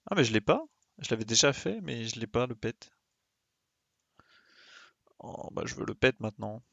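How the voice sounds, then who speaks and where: Homme à la voix craquante, streamer de jeu. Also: male voice, mid-range voice, expressive, normal speed, gravelly, a bit bright, slightly rough, no room sound. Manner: informal, slangy, thinking aloud. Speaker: game streamer. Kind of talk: live gameplay commentary, casual muttering and exclaiming.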